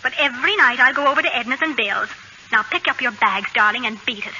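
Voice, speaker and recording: An actress playing a spirited, wry suburban wife and mother in a radio comedy, brisk and sarcastic in manner. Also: fairly high pitch, steady tone, pushed and bright. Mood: indignant, sarcastic. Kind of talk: 1940s radio comedy acting. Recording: dull, noisy old radio broadcast recording.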